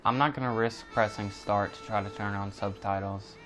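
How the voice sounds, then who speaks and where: A man records a casual let's play in a fairly low voice. He rambles and thinks aloud as a gaming YouTuber. Game music plays clearly behind him. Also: fairly even intonation, normal speed, fairly bright tone.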